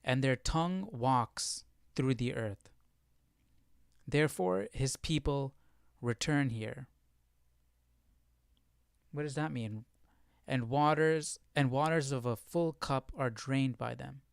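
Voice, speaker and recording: A man's voice: medium pitch, slow pace, gravelly, pauses often, clear, resonant tone, dry recording, clean studio sound. A man hosting a casual live Bible study, explaining as he thinks aloud and often posing questions. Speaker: livestream Bible study host.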